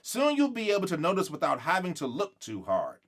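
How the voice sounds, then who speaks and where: A gentle storybook mother, acted out by a man, patient and reassuring. The pitch is raised and lilting, with words drawn out as she explains. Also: wildly swooping pitch, fairly quick, exaggerated voice. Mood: happy, gentle.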